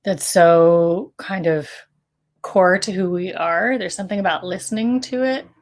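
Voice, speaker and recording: A woman's voice: on the low side, measured pace. A woman chatting in an unscripted, casual way as a guest on a panel.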